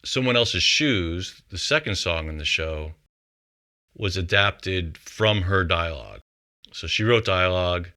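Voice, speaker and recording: A man with a fairly low voice talks casually as a songwriter about his craft. He is reflective, working out his thoughts aloud as he explains. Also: animated, measured pace, pushed and bright. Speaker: composer and songwriter.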